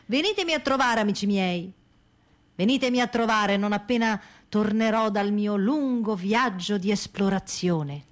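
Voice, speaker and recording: An actress plays a theatrical woman of the stage in a radio play. Her delivery is declamatory and measured, with a mid-range voice suited to farewells and recollections. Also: medium pitch, lightly acted. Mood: wistful farewell. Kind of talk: scripted radio theatre reading.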